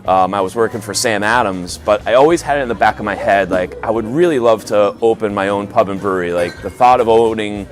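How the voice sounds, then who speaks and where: Matter-of-fact, low-voiced brewery co-owner. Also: male voice, brisk, few pauses. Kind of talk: documentary interview.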